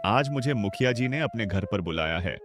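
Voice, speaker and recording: A man plays a humble middle-aged farmer in a cartoon story, earnest and respectful as he talks. The voice sits fairly low and swings lively in pitch. Background music plays clearly under it. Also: normal speed, natural voice. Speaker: voice actor. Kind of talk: cartoon dialogue acting.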